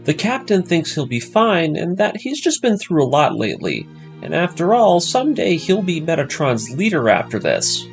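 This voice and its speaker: A man's voice: a little high, very animated, moderate pace. A man reading a scripted story recap as a narrator, with a dramatic storytelling delivery. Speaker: narrator of an anime story breakdown series. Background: music.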